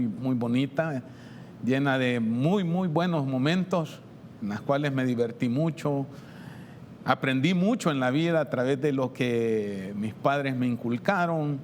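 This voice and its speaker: A man with a Salvadoran accent giving an informal personal testimony in a halting way, repeating words and pausing. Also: medium pitch, a little hiss or hum. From San Salvador, El Salvador.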